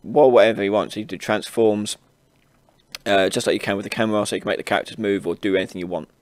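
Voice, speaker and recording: A man hosting an informal software tutorial, casually explaining each step as he demonstrates it on a close mic. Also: fairly low pitch, steady tone, fast, no room sound. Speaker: tutorial host. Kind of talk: informal screen-recorded software tutorial narration.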